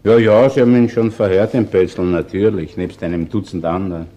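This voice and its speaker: An actor with a Viennese accent playing a seasoned, dry and persistent police chief inspector in a conversational radio crime play. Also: male voice, low-pitched, fairly even intonation, fairly quick, mellow, muffled recording, natural voice. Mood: pensive. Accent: Austrian, Viennese. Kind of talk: conversational radio drama acting.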